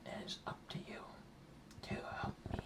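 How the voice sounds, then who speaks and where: A man voicing an earnest, welcoming dart frog guardian of the rainforest in a hushed, breathy ASMR roleplay, speaking slowly and deliberately. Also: distant mic, lightly acted. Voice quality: breathy whisper. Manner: slow, hushed, deliberate, repeating words.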